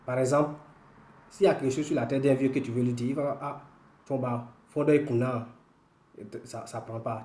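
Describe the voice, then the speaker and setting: Ivorian guy, woloduka native, teaching language on video, mellow relaxed voice, explains carefully, pretty echoey room. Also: medium pitch. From northwestern Ivory Coast. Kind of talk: informal language lesson to camera. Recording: roomy, echoing room sound.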